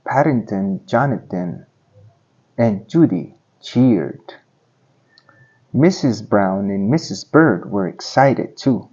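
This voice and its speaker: A man narrating a children's storybook aloud in simple, deliberate phrasing for young listeners. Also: lowish voice, lively intonation, dark tone, breathy edge, dull audio.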